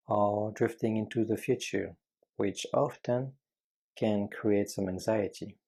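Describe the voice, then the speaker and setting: A man guiding a meditation with calm, gentle, measured instruction and frequent pauses between phrases. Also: on the low side, gravelly, breathy edge. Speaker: meditation guide.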